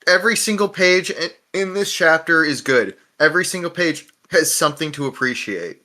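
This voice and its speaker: A young man hosting a casual discussion podcast, retelling things in an animated way with frequent swearing. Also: on the high side, normal speed. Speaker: podcast host.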